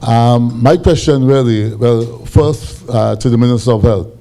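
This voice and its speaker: A man with a Grenadian accent and a big voice, asking questions at a town hall in a halting, comradely way. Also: mid-range voice, dark tone. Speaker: audience questioner.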